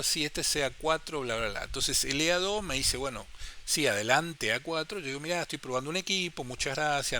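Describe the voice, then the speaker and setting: A man's voice: mid-range voice, bright, forceful tone, slight background noise. A man with an Argentine Spanish accent explaining amateur radio etiquette step by step in a casual tutorial, often checking that listeners follow.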